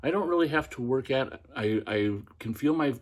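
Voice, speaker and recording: A man hosting a podcast, talking in a practiced feminine voice that is quiet and soft, with the pitch lifted by tightening his throat. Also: animated, normal speed. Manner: pitch raised by tensing the throat. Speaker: podcast host. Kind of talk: casual instructional monologue.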